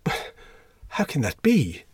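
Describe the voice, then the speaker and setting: A man's voice: mid-range voice, animated, normal speed, slightly rough, dry recording, voice pushed up. Guy doing a prim nervous older schoolteacher in an audiobook, voice jumps way up, preachy and easily shocked. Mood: shocked, incredulous. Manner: anxious, moralising, formal, pleading.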